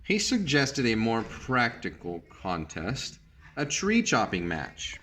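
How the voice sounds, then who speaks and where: A man reading a picture book aloud like a teacher, in measured narration with brief asides about the pictures. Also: mid-range voice, huge pitch swings, a bit bright.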